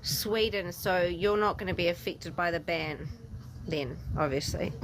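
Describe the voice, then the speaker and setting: A woman's voice: lowish voice, measured pace, a little hiss or hum, rough recording. A woman from New Zealand with a New Zealand accent, chatting loosely as a livestream guest. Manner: chatty, loose, uses filler like "dude" and "like". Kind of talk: casual live chat.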